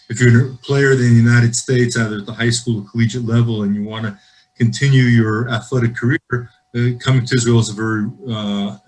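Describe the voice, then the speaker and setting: A man's voice: mid-range voice, darkish tone, rough recording. A man from the football league answering panel questions over a video call, earnest and rambling with frequent restarts.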